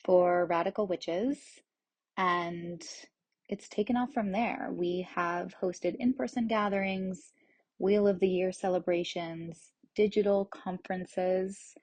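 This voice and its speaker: A woman hosting a podcast, reading a calm and earnest announcement about the show. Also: medium pitch, dry recording. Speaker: podcast host.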